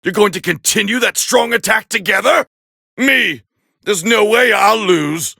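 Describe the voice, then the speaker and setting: Gruff haughty anime alien fighter, raspy n tense, highish for a man, calling fights in a game. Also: a little slow, no echo, studio quality, exaggerated voice. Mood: shocked, indignant. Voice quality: gravelly, raspy. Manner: haughty, forceful, clipped exclamations. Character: proud, gruff alien warrior man, scornful and competitive. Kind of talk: English-dubbed anime fighting game voice lines.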